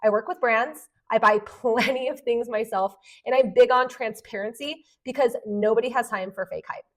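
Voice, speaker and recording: Upbeat woman host around forty. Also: on the high side. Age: late 30s to 40. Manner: brisk, polished delivery that turns chatty and explanatory. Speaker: podcast host and Amazon influencer. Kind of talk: podcast conversation.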